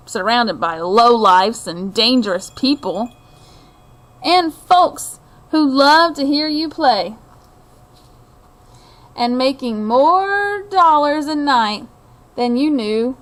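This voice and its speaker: A middle-aged woman reading a children's picture book aloud, with expressive storytelling, deliberate phrasing and asides to her listeners. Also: high-pitched. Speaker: read-aloud host.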